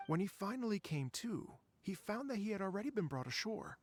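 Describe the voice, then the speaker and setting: A middle-aged wandering gentleman in an anime game. His voice sits a little high with a clear creak, and he speaks politely and earnestly, with measured wistfulness. A faint ambient noise runs underneath. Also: very animated. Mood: storytelling. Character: courteous middle-aged traveling festival organizer, earnest and wistful. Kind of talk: anime game voice acting, storytelling.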